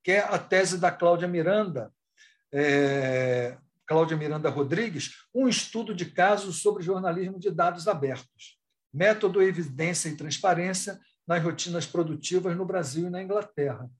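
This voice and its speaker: A man with a Brazilian accent speaking spontaneously as an academic in an online panel, halting and discursive, often rephrasing and adding tag questions. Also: high-pitched. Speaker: academic researcher and thesis supervisor.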